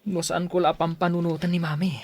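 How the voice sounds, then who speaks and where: A man's voice: a little high, level tone, fast. A man playing an aggrieved but dutiful young son in an audio drama. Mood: resentful, upset.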